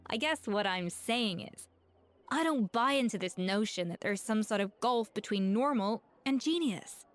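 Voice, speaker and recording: An actress playing a proud, exacting master chef with high standards in a video game. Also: female voice, lively intonation, a little slow, close to an everyday voice. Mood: earnest, firm. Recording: background music behind the voice.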